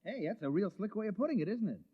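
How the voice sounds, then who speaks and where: A man's voice: high-pitched, big pitch swings, quick pace, husky, no room sound, full character voice. An actor playing a mild, hesitant village man reluctantly cast as town criminal, talking in a folksy way in 1950s radio drama style. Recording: dull old radio recording.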